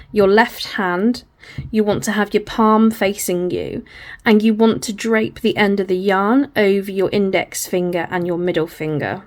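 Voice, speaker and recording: A hoarse woman, patiently talking through a crochet tutorial step by step, often apologising as she goes. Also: mid-range voice. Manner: patient, apologetic, talks through each hand movement.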